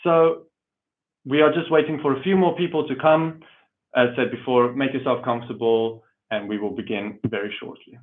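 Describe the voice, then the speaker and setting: A man from South Africa, a program coordinator, giving a formal, measured welcome in an online webinar. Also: medium pitch, steady tone. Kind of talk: webinar presentation. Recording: tinny phone-like online audio.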